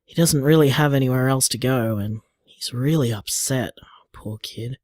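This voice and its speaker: A man's voice: mid-range voice, normal speed, darkish tone, no echo, close to an everyday voice. A man playing an affectionate, playful young bar owner, chatting casually as if leaving voicemails in an audio drama. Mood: concerned.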